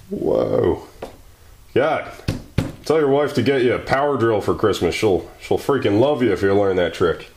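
A man hosting a maker channel, chatting and joking casually as he works in his garage workshop. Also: mid-range voice. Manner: joking, exclaiming and thinking aloud while working.

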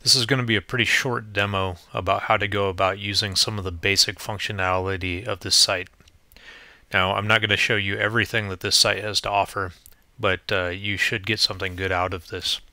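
A man with a lowish voice and a very bright, forceful edge narrates a software demo. He explains each step plainly and informally. The recording is dry and close, with no room sound. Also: level tone, a little fast, no echo. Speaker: tutorial presenter.

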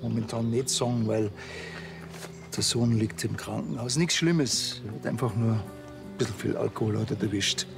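An actor playing a blunt, awkward middle-aged village policeman in a TV crime comedy, with a Bavarian accent and a halting, deadpan delivery. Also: mid-range voice, gravelly, over music, lightly acted.

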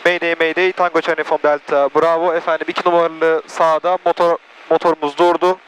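A man's voice: a little high, level tone, fast talker, fairly bright tone, low-quality recording. A young man flying as a student pilot, saying each action and radio call aloud in a small plane cockpit over a headset. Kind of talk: radio calls and callouts in flight. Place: small airplane cockpit in flight. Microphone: aviation headset. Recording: cockpit intercom with engine hiss.

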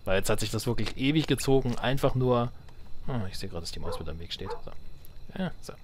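A man's voice: mid-range voice, animated, quick pace, a little hiss or hum. A man giving casual let's play commentary, muttering and thinking aloud to himself. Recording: game music behind the voice. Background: video game music.

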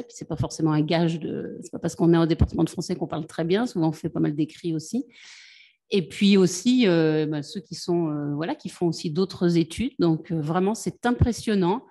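A woman's voice: on the low side, warm tone. A middle-aged woman moderating a panel over a video call from a room with bookshelves, speaking in an encouraging, conversational way. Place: home office with bookshelves.

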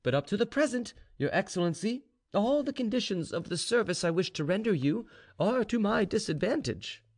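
A man voicing a sly, obsequious serf informer who is quietly confident, deferential and insistent, in a storybook tale. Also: high-pitched, lively intonation, normal speed, pitched above the performer's own voice.

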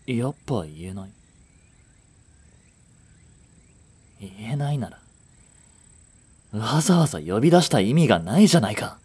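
A man's voice: medium pitch, very animated, fairly quick, darkish tone, slightly rough. Man acting a brusque, swooping young boyfriend. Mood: angry. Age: young adult. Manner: blunt casual masculine speech. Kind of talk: romantic audio drama acting.

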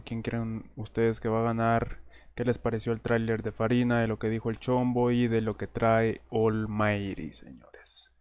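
Brief: male channel host, smooth clear voice, dry close mic, casual chatty commentary. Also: mid-range voice, a little mellow, dry recording. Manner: conversational, keeps saying "señores". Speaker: host of an entertainment news channel. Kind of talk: casual screen-share commentary.

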